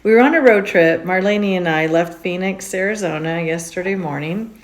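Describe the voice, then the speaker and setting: Woman hosting her podcast, chatty and upbeat, voice on the lower side. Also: normal speed. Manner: chatty, upbeat, with lively emphasis. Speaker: podcast host. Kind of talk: casual podcast conversation. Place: indoors on a road trip in Utah mountains.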